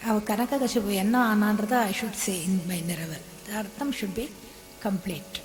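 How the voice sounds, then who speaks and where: A woman's voice: mid-range voice, rapid-fire, slight background noise. Woman lecturing in a reverberant hall. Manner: explanatory, switches between English and Tamil. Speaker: music teacher giving a lecture-demonstration. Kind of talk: lecture-demonstration on classical singing. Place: concert hall. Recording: long hall reverb, faint music behind.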